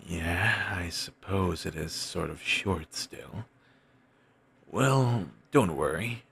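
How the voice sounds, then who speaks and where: A man playing a wistful, self-doubting wandering bard in an intimate audio roleplay, musing in courteous, formal phrases with thoughtful pauses and a gravelly, husky voice. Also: deep voice, a bit bright, exaggerated voice. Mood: warm, curious. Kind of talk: intimate one-sided audio roleplay acting.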